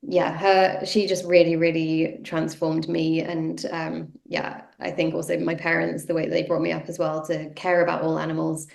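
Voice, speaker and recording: A woman's voice: deep voice, monotone, moderate pace, gravelly, hardly any pauses, darkish tone. A woman, giving a casual personal talk while sniffly from a cold, with many ums and yeahs. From North Yorkshire, England. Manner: conversational, many fillers like um and yeah. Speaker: guest speaker, activist. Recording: roomy echo.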